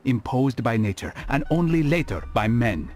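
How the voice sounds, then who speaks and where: A man playing a reflective, curious assassin in a video game cutscene, speaking in a formal, measured, philosophical way. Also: mid-range voice, animated, darkish tone, soft background music, natural voice. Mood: grave, musing. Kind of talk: dramatic scripted game cutscene dialogue.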